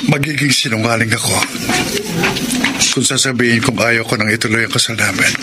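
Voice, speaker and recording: A man acts out a lovelorn, forgiving young groom in a Filipino-accented radio drama. His medium-pitched voice is earnest and emphatic, stretching words for drama. Clear noise sits behind him on the recording. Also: steady tone, fairly quick, close to an everyday voice. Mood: wounded devotion. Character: devoted, forgiving young groom, wounded and pleading. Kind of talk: Tagalog radio drama acting.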